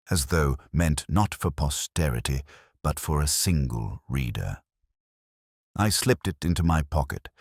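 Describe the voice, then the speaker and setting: Very deep-voiced man narrating a detective story. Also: slightly rough, dry recording, clean studio sound. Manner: measured, literary, reflective. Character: brooding, reflective male English police inspector. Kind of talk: scripted first-person detective story narration.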